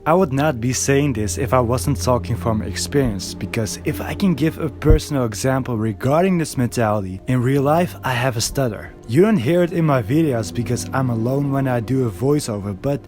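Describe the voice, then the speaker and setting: A man's voice: mid-range voice, expressive, moderate pace, hardly any pauses, darkish tone, faint music behind. A man reading a scripted voiceover for a video essay in an earnest, reflective way, recorded alone.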